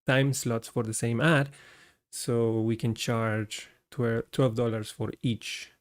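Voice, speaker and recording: Man from Costa Rica, live coding host, slow, even-pitched delivery, narrating his steps aloud. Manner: talks through code as he works, chatty with viewers.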